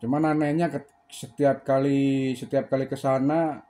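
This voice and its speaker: A man with an average-pitched voice chats as an amateur radio operator. He is polite and conversational, moving between call signs and friendly small talk. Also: flat delivery, a little slow, mellow. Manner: chatty, polite. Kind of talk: casual ham radio contact.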